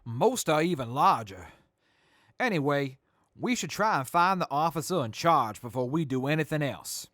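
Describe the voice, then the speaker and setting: A man's voice: on the high side, expressive, normal speed, fairly bright tone, no echo, clean studio sound. A man playing a formal military officer, a major, in a scripted audio drama. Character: formal army officer, a major seeking redeployment. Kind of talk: scripted post-apocalyptic radio drama.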